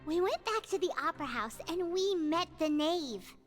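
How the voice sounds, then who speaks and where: A woman voicing a small, childlike fairy companion in a video game, excitable and greedy, with a squeaky, perky delivery. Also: moderate pace. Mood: chatty. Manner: perky, speaks of self in third person. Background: background music.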